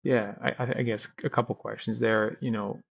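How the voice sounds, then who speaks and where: A man's voice: fairly low pitch, little pitch movement, moderate pace, gravelly, muffled recording. A Scottish man in his thirties, a company founder telling his story in a podcast interview with frequent restarts. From Scotland. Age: 30s.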